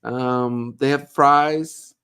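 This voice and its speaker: Man, middle-aged, co-host, casual live chat, reads comments aloud, joking, mid pitch. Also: fairly even intonation, very slow, fairly bright tone, smooth. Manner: chatty, jokey, reads viewer questions aloud.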